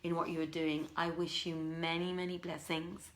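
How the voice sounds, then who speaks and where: A woman with an expressive, courteous manner, working as a live story reader with theatrical, carefully phrased delivery. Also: fairly low pitch, moderate pace. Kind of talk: theatrical storybook reading and informal livestream talk.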